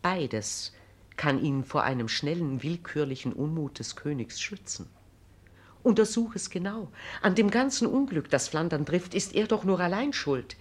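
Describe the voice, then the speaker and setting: A woman's voice: sits low, animated, normal speed. Proud, imperious female regent, standard German, formal and emphatic, classic radio-play delivery. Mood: accusing, resolute. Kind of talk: classic German stage-drama radio acting.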